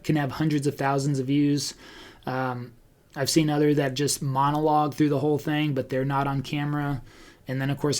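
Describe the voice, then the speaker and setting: A man talking casually as a podcast host, conversational and thinking out loud as he goes. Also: fairly high pitch. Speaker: podcast host and real estate agent. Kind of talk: casual talk about online video marketing.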